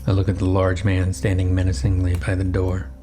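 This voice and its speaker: Deep, even-toned male horror narrator. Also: brisk, slight background noise. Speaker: narrator and writer of horror story. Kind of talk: first-person scripted horror narration.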